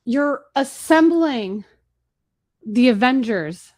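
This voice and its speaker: Fast-talking woman hosting a webinar. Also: high-pitched, lively intonation, a little mellow. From Chicago, USA. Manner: fast, casual, chatty with fillers and restarts. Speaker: webinar host. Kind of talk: marketing webinar presentation.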